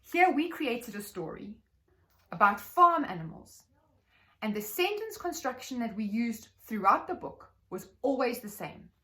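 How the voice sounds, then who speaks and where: Female teacher trainer, South African accent, slightly high register, articulate, measured presentation for educators. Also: big pitch swings, pushed and bright, low-quality recording.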